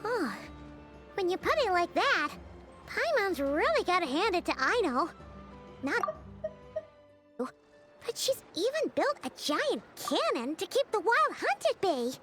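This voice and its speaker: Super high squeaky little fairy sidekick, curious game character, really bouncy, music behind. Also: female voice, sing-song, measured pace, pauses often, fairly bright tone, full character voice. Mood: musing. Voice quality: squeaky, childlike. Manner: refers to self in third person, exclamatory. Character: tiny excitable childlike fairy companion, chirpy and curious. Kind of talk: video game character dialogue.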